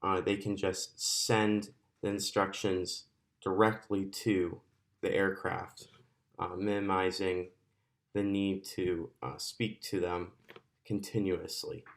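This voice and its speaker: A man with a fairly low voice walks through an explanatory presentation. He speaks as a student presenter, with halting phrases and pauses in the middle of sentences. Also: little pitch movement, vocal fry.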